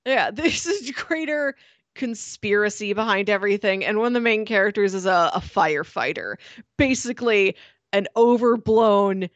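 A woman co-hosting a podcast in casual chat, talking in an animated way and often laughing as she speaks. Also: mid-range voice, moderate pace, dry recording. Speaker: podcast co-host.

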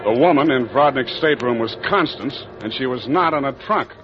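An actor playing a wry, hard-boiled private detective, wisecracking in 1940s radio noir style. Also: male voice, mid-range voice, brisk, lightly acted. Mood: wry, narrating. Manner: deadpan, wisecracking. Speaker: narrator and lead. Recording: dull old radio recording, music bed.

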